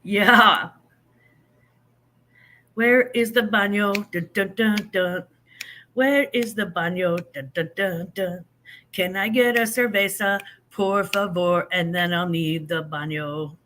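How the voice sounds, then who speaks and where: Chatty grandmother with a bright voice. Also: medium pitch, slow, a little hiss or hum. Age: older. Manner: chatty, rambling, self-deprecating, reads and answers chat. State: stuffy from allergies. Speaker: livestream host. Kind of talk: casual livestream chat.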